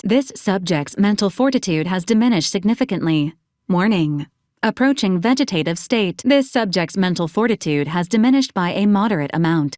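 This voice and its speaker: Woman voicing a clinical deadpan robot companion, close and dry. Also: medium pitch, no echo, close to an everyday voice. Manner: clipped, formal, deadpan, machine-like announcements. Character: female-voiced hovering robot companion, clinical, officious, dryly literal. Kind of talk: scripted video game character lines.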